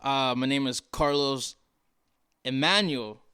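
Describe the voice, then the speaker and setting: A man's voice: mid-range voice, slow pace, pushed and bright, clean tone. A man hosting a podcast in a casual, conversational style, rambling and joking as he goes. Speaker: podcast host.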